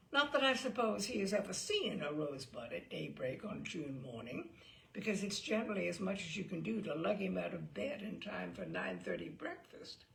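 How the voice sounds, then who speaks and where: Animated woman storyteller with creaky edge. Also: mid-range voice, poor audio. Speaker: story reader. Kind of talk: scripted comic story narration.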